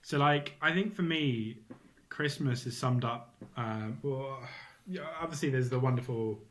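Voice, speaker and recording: Man, podcast co-host, easygoing, wry deadpan jokes, mid pitch. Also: a little slow, a little hiss or hum. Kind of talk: casual banter podcast.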